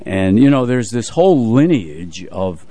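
A man in his eighties reminiscing casually as a radio music show host, telling stories in a measured way and repeating phrases. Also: lowish voice, very animated, mellow. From United States, New Jersey area. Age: 80s. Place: radio studio.

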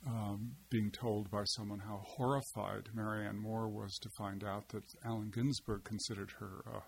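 A man, a poet, answering interview questions in a halting, reflective way, often pausing with fillers and restarting his sentences as he searches for words. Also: lowish voice, little pitch movement, creaky, slight background noise. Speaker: poet and translator, interview guest. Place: seated at a table.

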